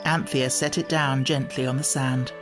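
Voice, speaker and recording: A man's voice: mid-range voice, steady tone, moderate pace, over music. A man reading a children's story as scripted audiobook narration.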